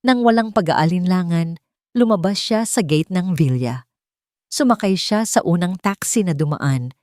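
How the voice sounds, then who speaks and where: A woman storyteller delivers scripted melodrama narration. Her voice sits a little low, and the recording is very dry, with no room sound. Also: lively intonation, measured pace, a little mellow, no room sound.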